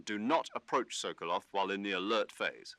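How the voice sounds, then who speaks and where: An actor playing a composed, authoritative major giving precise mission briefings in a video game. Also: male voice, on the low side, a little fast, fairly bright tone, no room sound, natural voice. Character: composed male major commanding a covert mission. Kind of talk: dramatic video game acting.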